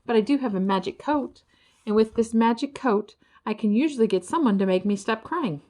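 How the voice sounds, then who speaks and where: A woman's voice: fairly high pitch, expressive, brisk, dark tone, natural voice. A woman voicing a fretful, weepy male hyena-ant creature from a children's storybook, wailing and repeating himself. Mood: sobbing, eager. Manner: wailing, sobbing, repetitive.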